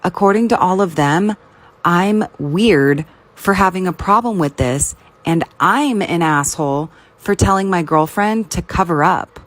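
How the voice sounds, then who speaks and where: Low-pitched woman narrating stories. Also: a little slow. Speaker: story narrator. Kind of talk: scripted narration of first-person online stories.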